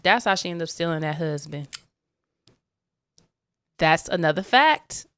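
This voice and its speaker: A young adult woman co-hosting a podcast, trading gossip in an animated, agreeable way. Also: low-pitched, measured pace. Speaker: podcast co-host. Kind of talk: casual gossipy chat.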